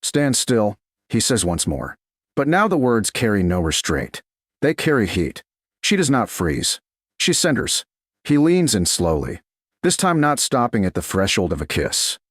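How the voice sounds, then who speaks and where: Male audiobook narrator for romance: low register, lively intonation, measured dramatic phrasing. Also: dry recording, studio quality. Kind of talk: scripted romance story narration.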